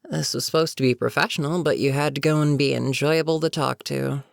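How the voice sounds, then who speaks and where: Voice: medium pitch, gravelly, no room sound, studio quality, close to an everyday voice. A performer voicing a weary goblin captain in a roleplay audio, chatting casually and sardonically with a captive, amused yet businesslike. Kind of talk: immersive character roleplay monologue, conversational.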